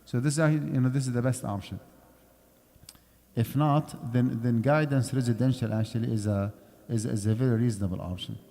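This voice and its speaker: A man's voice: mid-range voice, fairly even intonation, a little fast, vocal fry, dark tone. A man giving a conversational lecture on Islamic finance in a mosque, explaining step by step and checking in with his listeners. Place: mosque prayer hall. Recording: long hall reverb.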